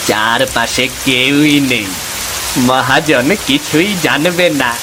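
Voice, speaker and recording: A man's voice: medium pitch, slow, noisy background, lightly acted. A man playing a sly, greedy village husband in a cartoon, with a theatrical, muttering delivery. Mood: scheming, pleased. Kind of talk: cartoon voice acting.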